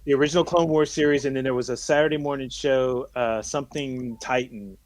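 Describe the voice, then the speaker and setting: A man on a podcast panel chatting casually with opinions, halting and circling back over his phrases. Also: mid-range voice, steady tone.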